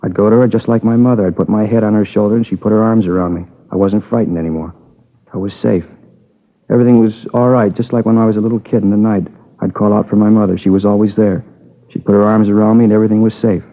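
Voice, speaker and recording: A man's voice: fairly low pitch, steady tone, fast, lightly acted. An American actor playing a troubled, needy young man confessing to a killing in a 1950s radio drama, brooding and pleading in short repeated phrases. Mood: bitter, yearning. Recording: tinny old radio recording with long reverb.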